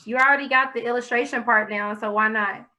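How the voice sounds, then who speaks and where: A woman's voice: on the high side, steady tone, a little fast, sharp tone. Chatty woman hosting a podcast. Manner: encouraging, chatty, fumbles phrasing. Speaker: podcast host. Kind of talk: casual podcast interview over video call. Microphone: video call.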